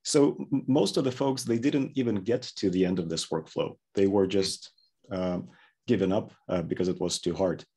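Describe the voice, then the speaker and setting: Low, husky man explaining his work. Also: normal speed, dark tone. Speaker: product engineer, interview guest. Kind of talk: explanatory conversational talk. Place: indoor room, plain backdrop, video call.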